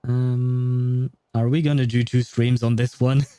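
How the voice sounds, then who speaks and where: Casting: male game streamer, relaxed dark tone, dry close mic, rambling self-deprecating chat. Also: medium pitch, unhurried, clear, resonant tone, no room sound. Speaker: video game livestreamer. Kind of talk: casual livestream chat while gaming.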